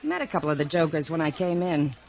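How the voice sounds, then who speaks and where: An actress playing a brash, scheming young New York Cinderella, slangy and wisecracking, in 1950s radio comedy style. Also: female voice, low voice, big pitch swings, quick pace, slight background noise. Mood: breezy, brassy. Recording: vintage radio recording, loud music behind. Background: music.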